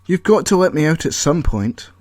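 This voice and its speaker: A man's voice: on the high side, very animated, moderate pace, darkish tone, no echo, clean studio sound, pitched above the performer's own voice. A man voicing a frustrated, impatient trapped character in an audio story, pleading and demanding answers. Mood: angry defiant. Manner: exclamatory, demanding questioning.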